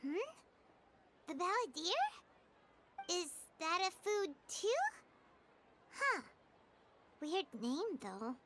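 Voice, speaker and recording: Game fairy sidekick: extremely high squeaky childlike voice, bubbly, swooping intonation, heavy background noise. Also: female voice, sing-song, extremely slow, long pauses, heavily acted. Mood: puzzled. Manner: refers to herself in third person, bubbly, exclamatory. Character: small childlike female floating fairy companion, chirpy and food-loving. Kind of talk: video game character acting.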